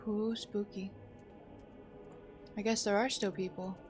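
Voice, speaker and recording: A woman's voice: medium pitch, flat delivery, slow, over music. A woman live streamer giving casual commentary as she plays a game.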